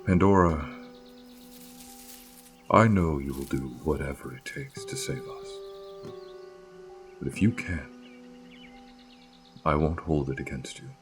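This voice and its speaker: A man acting in a fantasy audio drama as a gentle, melancholy guardian of a flower field, speaking in measured, halting phrases. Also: extremely low pitch, faint music behind, full character voice. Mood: tender, grateful.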